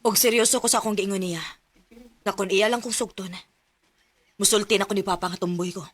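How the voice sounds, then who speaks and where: A woman's voice: mid-range voice, steady tone, a little fast, background noise, lightly acted. A woman acting as an earnest young Cebuano daughter. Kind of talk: radio drama acting.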